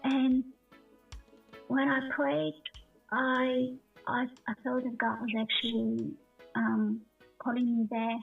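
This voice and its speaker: A woman from Bulgaria shares a personal testimony in a halting, searching way. Her voice is fairly high and creaky. It comes through dull and lo-fi, a little far from the mic, with soft music underneath. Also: little pitch movement. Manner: halting, hesitant, repeats words. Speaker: guest sharing her faith testimony. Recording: muffled distant lo-fi call, faint music.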